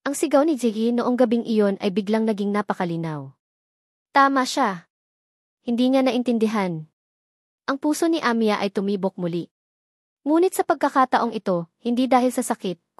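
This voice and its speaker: A woman narrating a scripted drama story in a slow, measured storytelling manner. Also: fairly high pitch, lively intonation, darkish tone, no room sound, clean studio sound.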